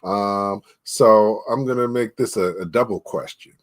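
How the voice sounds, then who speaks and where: A man's voice: fairly low pitch, a little slow. A man hosting an online interview, affirming the guest and putting questions to her with easy fillers. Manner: relaxed, affirming, many fillers. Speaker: interview host.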